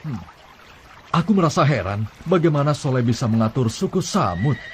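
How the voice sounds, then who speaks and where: A man's voice: mid-range voice, big pitch swings, moderate pace, slight background noise, lightly acted. A man voicing a sly, scheming chieftain. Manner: sly, plotting, ends with an evil laugh. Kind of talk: dubbed cartoon acting.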